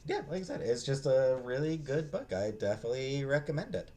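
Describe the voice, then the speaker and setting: A man giving a casual, unscripted book review in a halting, hesitant way, often trailing off and restarting. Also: medium pitch, animated, dark tone. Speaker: book reviewer, vlog host.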